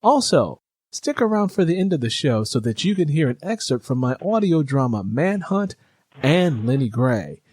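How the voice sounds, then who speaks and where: A man's voice: fairly high pitch, sing-song, normal speed, darkish tone, slightly rough, no echo. American man hosting a podcast, dry close sound. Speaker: podcast host interviewing an author.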